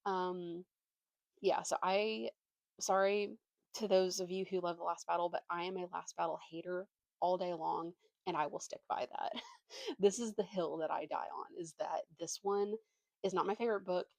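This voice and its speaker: A young woman talks in a casual vlog style with a voice a little on the low side. She is opinionated and slangy, with a self-deprecating streak. The sound is dry, with little room to it. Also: fairly even intonation, moderate pace, creaky, no room sound. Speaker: book vlogger.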